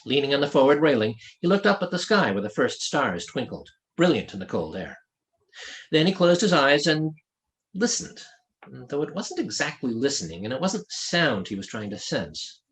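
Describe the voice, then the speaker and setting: Man narrating fiction with lively, varied pitch. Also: moderate pace, rough recording. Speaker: author reading own novel. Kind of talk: scripted audiobook narration of a fantasy novel.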